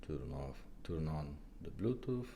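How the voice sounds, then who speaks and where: A man's voice: a bass voice, expressive, measured pace, gravelly, warm tone, slight background noise. A man giving a casual product demonstration as a technician, explaining step by step in short, simple phrases. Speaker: car radio repair technician demonstrating products.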